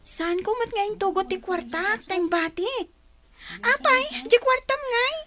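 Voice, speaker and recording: A woman's voice: extremely high pitch, measured pace, crowd noise. A woman with an Ilocano accent playing a sensible, thrifty young daughter in a radio comedy drama, matter-of-fact and chiding. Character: sensible, thrifty young daughter who scolds her twin.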